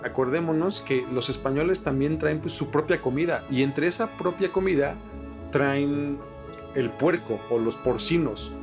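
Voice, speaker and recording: A man's voice: on the high side, moderate pace. Slangy Mexican man chatting over music. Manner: slangy, sweary. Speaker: podcast host. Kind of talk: casual explanatory chat. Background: music with guitar.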